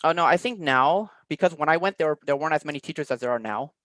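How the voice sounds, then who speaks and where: Fast-talking man, dry close sound. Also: a little high, expressive, sharp tone, no echo. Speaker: online English teacher, interview guest. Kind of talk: casual interview chat. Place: live online interview.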